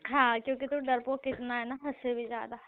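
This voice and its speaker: A young woman talks in a casual, playfully taunting way. Her voice sits high. It is heard over a tinny, narrow phone connection. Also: level tone, fairly quick. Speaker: sister on a call. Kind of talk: casual teasing phone chat. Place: phone call.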